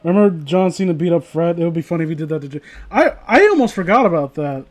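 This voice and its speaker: A man's voice: on the high side, lively intonation, fast talker. A man casually reading aloud on a livestream, rambling and sweary, often breaking off mid-sentence with mocking commentary. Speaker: streamer reading stories aloud to viewers.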